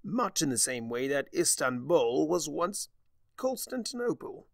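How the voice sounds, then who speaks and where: A man's voice: fairly high pitch, sing-song, measured pace, creaky, no echo, pitched above the performer's own voice, full character voice. A man acts out a mischievous male chaos spirit from a fantasy storybook. The voice leaps upward in sardonic, theatrical swoops. It drawls with grand, smug condescension, very close and dry. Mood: teasing.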